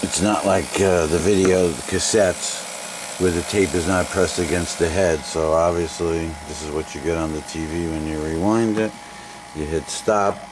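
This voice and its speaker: A man's voice: sits low, steady tone, normal speed, very noisy. A man casually demonstrating old equipment, thinking aloud in a tentative, unscripted way as he goes. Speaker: demonstrator of vintage video equipment.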